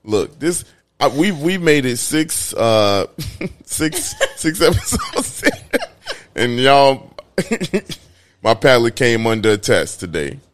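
Wildly animated Chicago man, joking and slangy. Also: mid-range voice, takes it slowly. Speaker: podcast co-host. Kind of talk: casual comedic banter.

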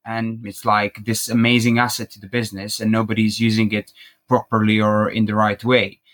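A young man from Croatia, a podcast guest, chatting casually and telling an animated travel story, laughing at himself and acting out quotes. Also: on the low side, moderate pace.

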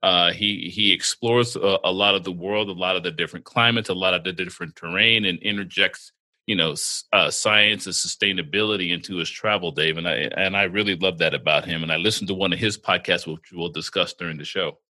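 Very bright-voiced, low-pitched male podcast host. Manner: joking, halting with many fillers and restarts. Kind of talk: casual podcast banter.

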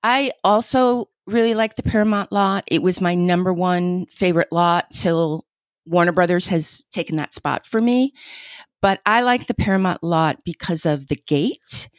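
A woman's voice: fairly low pitch, normal speed, no room sound, studio quality. A woman hosting a podcast, chatting casually with her co-host and laughing easily.

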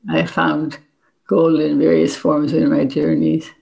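Older woman with heavily creaky voice. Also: sits low, level tone, measured pace, a little mellow. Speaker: interview guest, memoir author and former NGO worker. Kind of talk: reflective interview conversation. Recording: dull, lo-fi recording.

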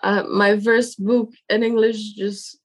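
Slow-talking woman, non-native English speaker. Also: medium pitch, level tone. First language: Indonesian. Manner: halting, searching for words with many fillers. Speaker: guest, English learner. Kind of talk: casual interview conversation.

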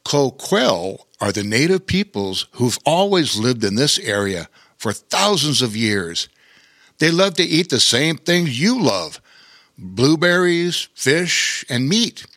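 A man's voice: medium pitch, big pitch swings, slow pace, dry recording, clean studio sound, voice pushed up, full character voice. Pete the Pirate, a man doing a kind, cheerful pirate. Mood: gentle. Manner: gentle explaining tone.

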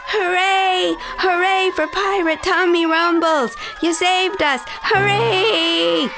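A woman voicing excitable pirates in a children's story, exclaiming loudly and repeatedly. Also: very high voice, slow pace, gravelly, music in the background, pitched above the performer's own voice, full character voice. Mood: jubilant. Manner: loud, exclaiming, repetitive. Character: excitable crew of pirates, shrieking and cheering.

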